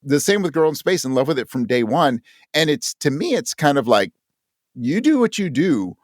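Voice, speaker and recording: Animated, earnest man in a dry-sounding interview. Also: fairly high pitch, moderate pace, no room sound. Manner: earnest, emphatic, often acting out imagined remarks. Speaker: podcast guest and audio drama creator. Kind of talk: casual interview conversation.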